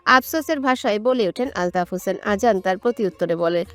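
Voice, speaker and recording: A woman narrates a romantic story from a script. Her voice sits at a middle pitch for a woman. Quiet music plays behind her. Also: lively intonation, a little fast.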